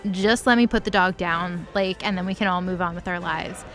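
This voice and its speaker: A woman voicing a jaded, impatient male archangel with flat, exasperated delivery in a comic recap. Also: on the low side, brisk, close to an everyday voice. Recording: music under the voice.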